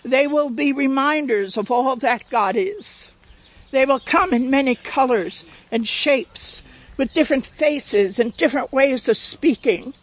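A woman voicing an ancient, wise storybook turtle in a measured, solemn, reassuring manner. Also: high-pitched. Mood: solemn, tender. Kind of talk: storybook character reading.

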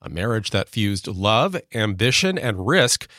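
A man's voice: on the low side, very animated, unhurried, pushed and bright, no echo, studio quality. A man presenting a radio show as host, reading scripted introductions.

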